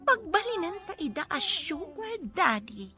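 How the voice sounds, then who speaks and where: A woman playing a scheming young woman in an audio soap drama, with an insistent, expressive delivery. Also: very high-pitched, unhurried, heavily acted. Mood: conspiring. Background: background music.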